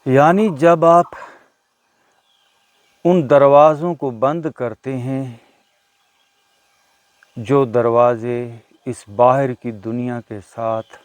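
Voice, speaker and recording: A man leading a guided meditation as a teacher, speaking deliberately with short pauses between phrases. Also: mid-range voice, steady tone, clean tone, no room sound. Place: outdoors in a natural setting. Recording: outdoor recording with birdsong behind. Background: birdsong.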